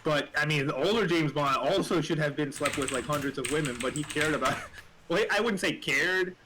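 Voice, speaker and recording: A man in casual, opinionated conversation, rambling in an animated way with frequent filler words and some swearing. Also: a little high, a little fast. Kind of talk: casual chat, opinionated film talk. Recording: noisy room with keyboard clatter.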